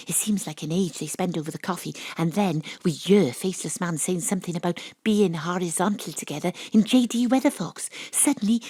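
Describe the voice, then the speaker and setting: Tipsy wry investigator Astrid, dry close sound. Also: female voice, on the low side, darkish tone, husky, no room sound. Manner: wry, bawdy, theatrical. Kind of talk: audio drama, first-person narration and dialogue.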